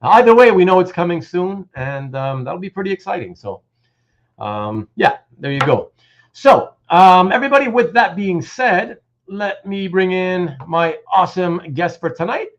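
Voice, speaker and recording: High-pitched, very animated man hosting. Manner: halting, repeats words and phrases. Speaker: host of a live show. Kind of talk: casual livestream chat. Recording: dull, muffled lo-fi audio.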